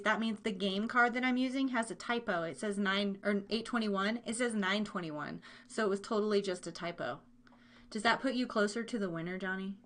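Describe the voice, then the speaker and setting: An American woman with a fairly high voice hosts a live stream trivia game. She chats casually and playfully with her listeners, dropping in little asides as she goes. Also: pushed and bright. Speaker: live show host running a trivia game.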